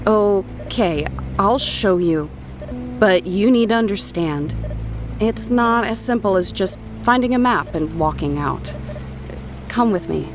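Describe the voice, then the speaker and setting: A woman's voice: mid-range voice, expressive, slow pace. An actress playing a guarded, clever woman who tinkers with tech on a prison island, in an audio drama. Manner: guarded, explanatory. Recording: music under the voice.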